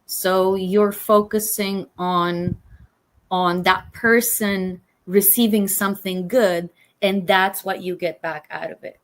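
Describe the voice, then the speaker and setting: A woman from Romania hosts a relaxed conversation in English. She talks in an eager, chatty way and fills gaps with "like" and "you know." Her pitch sits in the middle of the range. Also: slow pace. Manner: enthusiastic, chatty, many fillers. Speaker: host. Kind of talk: casual conversational interview.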